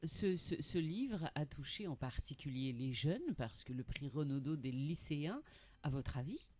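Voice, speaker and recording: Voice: mid-range voice, very animated, measured pace, darkish tone. Curious radio host asking questions. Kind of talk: radio interview.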